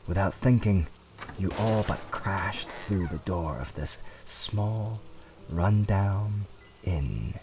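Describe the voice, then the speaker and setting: A man's voice: deep voice, animated, slow, far from the mic, noisy background. A man narrating a scripted fantasy tale in a dramatic storytelling style.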